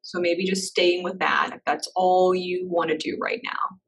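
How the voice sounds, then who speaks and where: A woman leading a guided meditation in a soft, slow, reassuring way, with gentle pauses between phrases. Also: lowish voice, level tone, far from the mic, rough recording.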